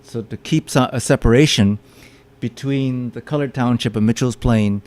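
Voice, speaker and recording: A man's voice: medium pitch, normal speed, a little mellow. A man giving a public lecture with slides, often stopping to repeat his words as he explains. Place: meeting room with audience.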